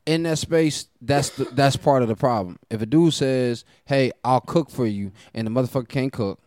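A man co-hosting a podcast in blunt, joking chat, dropping curses as he goes. His voice is at a middle pitch. The recording is close and dry. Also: normal speed, darkish tone, no echo. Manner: blunt, joking, swears freely. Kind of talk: casual chat.

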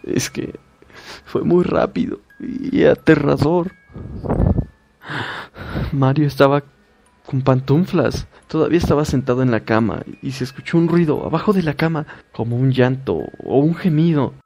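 A man voicing a frightened young woman who pleads and stammers in panic, in a gravelly recorded horror story. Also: medium pitch, a little slow, darkish tone. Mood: terrified.

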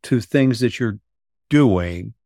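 A man's voice: on the low side, animated, slow, warm tone, no room sound, clean studio sound. A man speaking conversationally as an interviewer.

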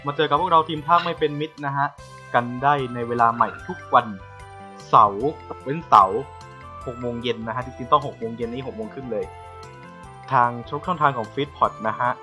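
A man's voice: medium pitch, moderate pace, bright, forceful tone, highs cut off, faint music behind. A man hosting a casual live podcast, bantering and often restarting his phrases. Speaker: podcast host.

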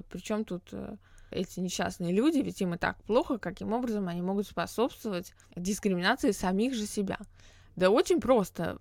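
A woman's voice: medium pitch, gravelly, no room sound, studio quality. A woman hosting a podcast, talking through ideas on her own in an explanatory, reflective way with frequent hesitation sounds. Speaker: podcast host. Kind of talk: solo podcast monologue.

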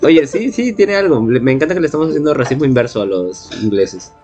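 A man's voice: mid-range voice, lively intonation, quick pace, a little mellow, faint music behind. A man with a Peruvian accent chatting casually and reminiscing as a podcast co-host.

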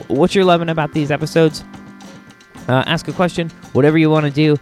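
An American man hosting a podcast, chatting casually to listeners in an enthusiastic, rambling, halting way, close to the microphone. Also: on the high side. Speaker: podcast host.

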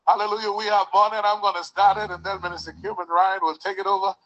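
Man, preacher, fervent, call-and-response, somewhat high voice, muffled lo-fi sound. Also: little pitch movement, fast. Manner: repeats hallelujah, rises to shouts. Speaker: church leader leading a testimony service.

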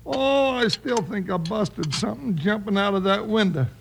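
High, creaky, whiny cowboy sidekick. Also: male voice, normal speed. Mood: grumbling. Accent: American. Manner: complaining, folksy drawling grumble. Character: whiny, put-upon cowboy deputy sidekick, worn out. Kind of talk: 1950s Western radio acting. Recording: noisy old radio recording.

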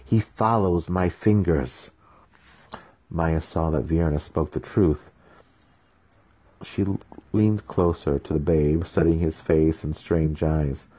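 Male audiobook narrator for dark fantasy: very low register, husky texture, dramatic scripted delivery. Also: fairly even intonation, slow.

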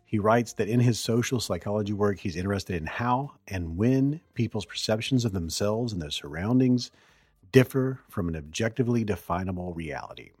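A man hosting a podcast, talking alone in a hoarse voice as he gets over the flu, rambling and correcting himself as he goes. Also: fairly low pitch, animated, normal speed, darkish tone, dry recording, clean studio sound. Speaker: podcast host. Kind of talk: solo podcast monologue.

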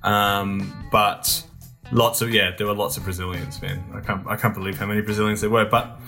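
A young man telling stories in a casual interview, relaxed and rambling, with lots of ums and restarts. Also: lowish voice, normal speed, gravelly, soft background music. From Australia. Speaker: guest.

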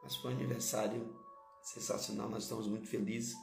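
A hoarse male pastor, preaching a sermon and repeating key phrases to his listeners. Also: fairly low pitch, flat delivery, brisk, roomy sound, faint music behind.

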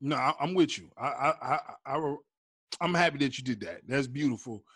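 A man's voice: mid-range voice, lively intonation, moderate pace, fairly bright tone, slightly rough, no room sound, clean studio sound. A man hosting a casual comedy interview, bantering in playful, slangy talk. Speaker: host, comedian.

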